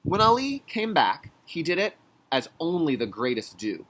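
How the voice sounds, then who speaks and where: Animated man teaching young children, husky voice. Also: fairly high pitch, poor audio. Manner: instructional, deliberate enunciation, addressing young children. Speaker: kindergarten teacher. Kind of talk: read-aloud lesson for young children.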